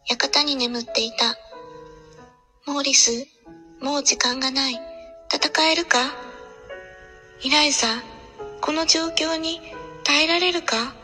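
A woman's voice: high voice, sharp tone, exaggerated voice. A woman acting an urgent, heartfelt, solemn narrator in an audio drama trailer. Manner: solemn, deliberate, intense.

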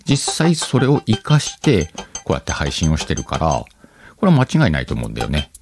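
A man's voice: rumbling low, wildly swooping pitch, a little fast, gravelly. A man hosting a podcast, chatting casually alone in an informal, playful way as he thinks aloud. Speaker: podcast host. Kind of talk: casual solo chat. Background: music.

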